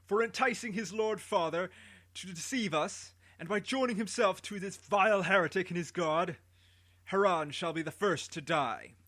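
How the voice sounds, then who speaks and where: A man voicing a cruel, proud tyrant king who speaks in a great booming voice. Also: moderate pace, fairly bright tone. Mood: commanding. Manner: booming, commanding.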